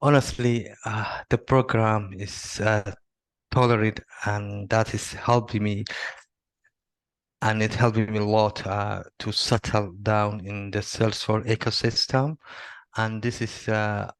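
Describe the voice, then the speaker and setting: A middle-aged man from Somalia, not a native English speaker, answering interview questions haltingly with many fillers and restarts. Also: on the low side, flat delivery, no echo. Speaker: interviewee, Salesforce admin. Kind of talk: video interview.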